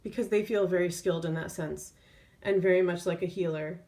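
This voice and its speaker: Woman giving an unscripted tarot reading, lowish voice. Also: fairly even intonation, fairly quick, darkish tone. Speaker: tarot reader. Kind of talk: intuitive tarot reading, spoken off the cuff.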